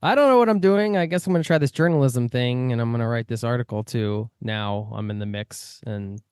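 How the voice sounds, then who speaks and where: A man's voice: medium pitch, a little fast, a little mellow, smooth, no room sound, clean studio sound. A man from the United States hosting a travel podcast, chatting casually and laughing often. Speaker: podcast host. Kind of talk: casual interview chat.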